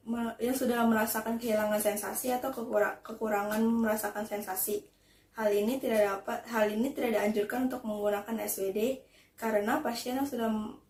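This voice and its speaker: High-voiced young woman presenting, echoey room. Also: a little mellow, slight background noise. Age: young adult. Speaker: student presenter. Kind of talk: academic presentation, explaining point by point. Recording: roomy, echoing voice recording.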